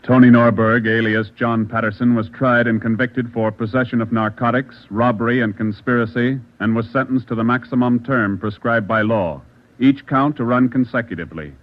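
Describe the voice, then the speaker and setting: A man announcing formally in measured 1940s radio style. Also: medium pitch, steady tone. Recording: muffled 1940s radio, loud music.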